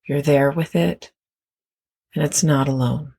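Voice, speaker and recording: A meditation guide speaks very slowly and gently, leaving long pauses between phrases. The voice is husky and breathy, with a noticeable creak. The delivery is that of a guided meditation. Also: mid-range voice, fairly even intonation.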